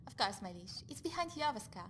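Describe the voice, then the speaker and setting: A woman voicing a chatty, loyal female companion in a fantasy video game, bubbly, teasing and busily organising, over rumbling noise. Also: very animated, brisk, a bit bright. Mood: chirpy, proud. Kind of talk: video game character dialogue.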